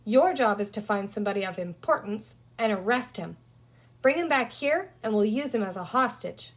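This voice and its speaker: A woman's voice: mid-range voice, animated, normal speed. A woman voicing a bossy, resourceful young girl in a children's story, talking emphatically as she reasons aloud. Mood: decisive. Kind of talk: children's storybook character reading.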